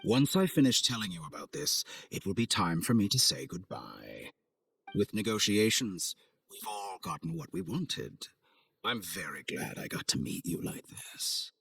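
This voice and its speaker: An actor playing an arrogant villain scientist in a game, with a measured, condescending, theatrical delivery. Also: male voice, lowish voice, wildly swooping pitch, gravelly, a bit bright, exaggerated voice. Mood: sly, persuasive. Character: suave, arrogant male villain scientist, coldly amused schemer. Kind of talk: video game cutscene acting. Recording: studio voice over game music.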